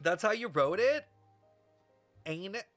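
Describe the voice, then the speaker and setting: A brash, sweary livestreamer chatting casually and rambling through an explanation, playfully scolding viewers with theatrical emphasis. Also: mid-range voice. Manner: animated, theatrical emphasis with drawn-out words. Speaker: streamer reacting to a video game story. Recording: roomy echo, faint music behind.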